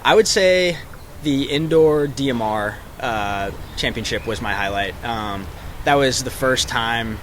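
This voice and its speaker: A young man from Texas with a light Texas accent, a college distance runner answering media questions in a relaxed way, with many fillers. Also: mid-range voice, background noise. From Texas, Dallas suburbs. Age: young adult. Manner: relaxed, lots of ums and likes. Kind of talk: media interview.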